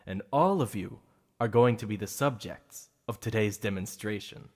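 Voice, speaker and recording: A man's voice: lowish voice, normal speed. A man performing a boastful, playful supervillain for an ASMR roleplay, with a secret obsession behind the show. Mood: gloating showman. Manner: showy, mocking, playful.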